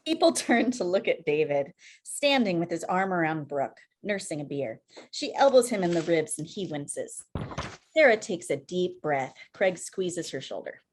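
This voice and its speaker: A woman narrates a screenplay's stage directions. Her pitch is a little low, and the delivery is scripted reading over a video call. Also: big pitch swings, moderate pace. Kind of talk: scripted narration at a table read.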